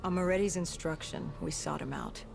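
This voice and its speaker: An actress playing a battle-hardened, haunted female soldier in a video game, narrating in a grave, terse, measured way. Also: deep voice, vocal fry, music in the background. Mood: subdued recounting. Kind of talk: dramatic scripted game narration.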